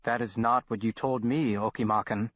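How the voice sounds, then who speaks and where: A man's voice: medium pitch, fairly even intonation, normal speed, fairly bright tone, no echo, dull audio, natural voice. A man doing an earnest police sergeant. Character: startled male police sergeant and translator.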